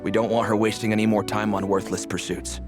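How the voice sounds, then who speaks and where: A man's voice: fairly low pitch, flat delivery, fast talker, music in the background, close to an everyday voice. An actor playing a practical, stern father in a video game, with a formal and firm way of talking.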